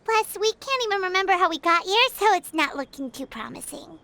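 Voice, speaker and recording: A woman voicing a tiny, floating female fairy companion in a game dub, eager, chatty and quick to react. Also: extremely high pitch, animated, gravelly, pushed and bright, heavily acted. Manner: quick to react, curious, exclamatory. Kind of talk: video game dub acting.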